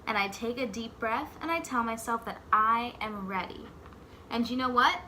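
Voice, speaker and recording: Woman reading as a bright-voiced, caring storybook dad. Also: a little high, moderate pace, a little hiss or hum, rough recording. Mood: reassuring. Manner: gentle, encouraging. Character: caring, reassuring father of a little boy. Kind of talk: storybook character voice.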